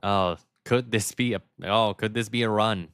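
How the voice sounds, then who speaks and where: A man with a fairly low voice, recorded dry and close. He commentates a card game in a casual, conversational way, wondering aloud about what comes next. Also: fairly even intonation, moderate pace, a bit bright, clean tone, no echo. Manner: conversational, speculating aloud with rhetorical questions. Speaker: match commentator.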